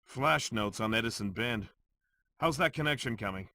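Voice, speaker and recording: An actor playing a gruff veteran secret agent with a clipped, understated manner in a video game. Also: male voice, mid-range voice, expressive, moderate pace, bright, forceful tone, no room sound. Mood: terse. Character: terse, gruff veteran male secret agent, awkwardly fatherly.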